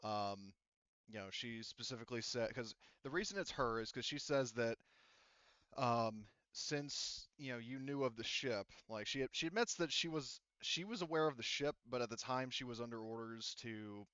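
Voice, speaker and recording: A man's voice: medium pitch, normal speed, bright, forceful tone, smooth, no room sound, dull audio. A man acting as game master, explaining rulings and story in a rambling way with lots of fillers. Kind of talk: narrating a tabletop game.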